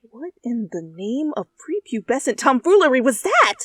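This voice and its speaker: A woman reading fan fiction aloud in an expressive, comedic style, with dramatic and conversational asides, close to the mic. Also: high-pitched, moderate pace, slightly rough, dry recording. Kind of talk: expressive, comedic story narration. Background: faint background music.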